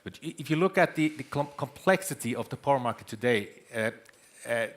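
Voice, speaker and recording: A man from Norway with a Norwegian accent, explaining haltingly on a conference panel, stammering at the starts of words. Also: mid-range voice, animated. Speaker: panelist, data center chief executive. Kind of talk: panel discussion, unscripted explanation.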